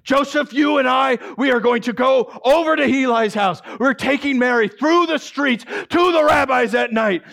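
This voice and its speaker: A man voicing a stern, furious older father, shouting his indignant words in a theatrical monologue. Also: on the high side, expressive, moderate pace, vocal fry, pitched above the performer's own voice, heavily acted.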